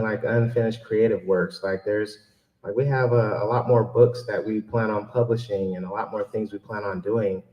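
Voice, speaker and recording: A man giving an informal, joking and self-deprecating audience Q&A talk as a keynote speaker and author. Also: fairly low pitch. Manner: joking, self-deprecating, animated when quoting himself. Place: public library event room. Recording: echoey room, mic at a distance.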